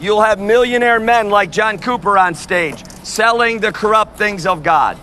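A man preaching loudly and forcefully on an outdoor sidewalk, proclaiming to passersby. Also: high voice, measured pace, slight background noise. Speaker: street preacher. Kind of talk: open-air street preaching.

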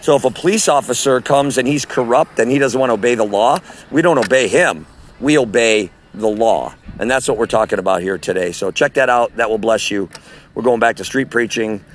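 A man with a loud voice talks to fellow street preachers in a forceful, sermon-like cadence. He sits at a middle pitch and records himself on a phone while walking outdoors. Faint street traffic hums behind him. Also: brisk. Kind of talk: walking audio message. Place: outdoors at a farmer's market.

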